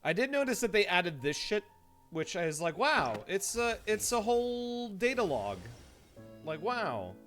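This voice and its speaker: A man's voice: high voice, very animated, unhurried, a bit bright. A man doing casual live game-stream commentary, joking and sweary as he reacts. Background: game music.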